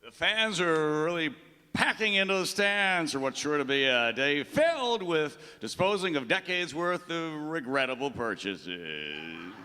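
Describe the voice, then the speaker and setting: An actor playing a grandiose aging sports broadcaster who talks in theatrical play-by-play patter in a live radio comedy. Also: male voice, on the high side, big pitch swings, a little slow, pushed and bright, clean tone, exaggerated voice. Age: older. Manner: pompous announcer patter, theatrical. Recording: live stage in a reverberant hall.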